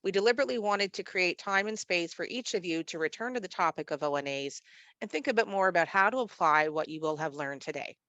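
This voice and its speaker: A woman with a nasal voice from a cold, hosting a webinar in a measured, read-aloud manner over a video call. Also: on the low side, lively intonation, a bit bright, no room sound. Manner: measured, read-aloud delivery with occasional fillers. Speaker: webinar host, executive director of a literacy network. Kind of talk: scripted webinar presentation.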